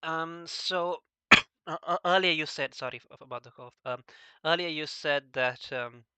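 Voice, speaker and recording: A man conducting a casual interview in a halting way, often stammering over the starts of words. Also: fairly high pitch, pushed and bright, smooth.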